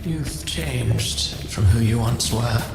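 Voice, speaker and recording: A man's voice: mid-range voice, slow pace. A man playing a cold, fatalistic villain in a video game, delivering portentous, theatrical taunts. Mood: taunting. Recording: voice over clear background music.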